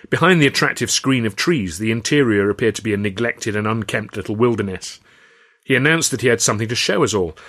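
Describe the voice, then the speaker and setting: A man's voice: on the low side, a bit bright. A man with an English accent reading a scripted story aloud as an audiobook narrator.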